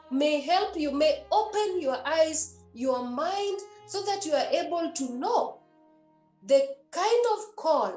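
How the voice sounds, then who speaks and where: Nun, high voice, earnest and repetitive preaching to youth; roomy echo, faint music underneath. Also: female voice, a little mellow. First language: a Kenyan language. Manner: earnest and repetitive, with many hesitations. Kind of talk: exhortation to young people.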